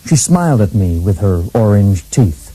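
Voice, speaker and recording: An actor playing a deadpan hardboiled bodyguard and assassin who narrates his own story in a comic radio play. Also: lowish voice, moderate pace, slight background noise, low-quality recording.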